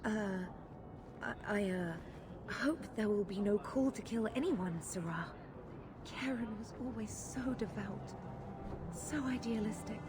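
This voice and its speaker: Worried fantasy game townswoman, done by an actress, hesitant and formal, middle pitch, music under it. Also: natural voice. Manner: hesitant, formal old-fashioned speech. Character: anxious devout townswoman pleading for her missing brother. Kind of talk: video game dialogue acting.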